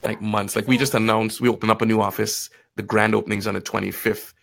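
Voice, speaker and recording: A man telling stories casually in conversation, acting out bits of quoted dialogue in an animated manner. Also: lowish voice, fast talker, gravelly. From Guyana and Trinidad. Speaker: podcast guest, financial advisor.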